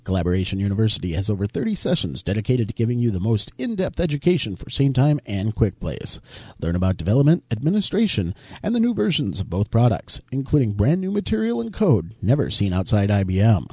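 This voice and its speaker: A man reading a scripted sponsor ad with energetic, punchy delivery. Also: on the low side, fast talker, husky, dry recording. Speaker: sponsor ad announcer. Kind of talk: scripted promo read.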